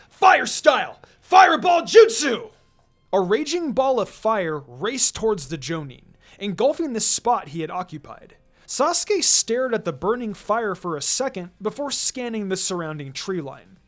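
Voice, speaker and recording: A narrator reading fan fiction aloud in an expressive, dramatic and emphatic storytelling style. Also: medium pitch. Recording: music under the voice.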